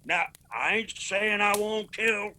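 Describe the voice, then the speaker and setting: An actor playing a proud, principled and vengeful mountain clan leader in a radio drama, with an Appalachian accent. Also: male voice, high-pitched, slow, bright, forceful tone, slight background noise, exaggerated voice. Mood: fierce vow.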